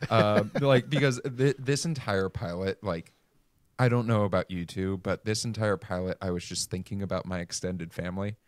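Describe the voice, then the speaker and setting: A man's voice: lowish voice, moderate pace, dry recording. A man co-hosting a podcast in casual, conversational discussion of television. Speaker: podcast co-host.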